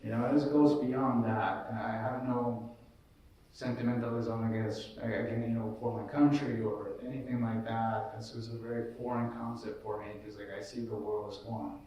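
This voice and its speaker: A man's voice: medium pitch, fairly even intonation, normal speed, warm tone, lo-fi sound. A man from Colombia speaking as a panelist, earnest and rambling with many fillers. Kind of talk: casual panel discussion. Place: large echoey room, live event. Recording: echoey room from far off.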